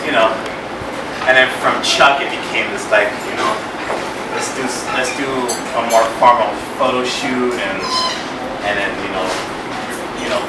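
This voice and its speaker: A man's voice: medium pitch, steady tone, moderate pace, long pauses. A man filmmaker talking casually at a screening Q&A, rambling with lots of fillers and restarts. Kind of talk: casual Q&A talk. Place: screening room. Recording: echoey hall from far off with loud background noise.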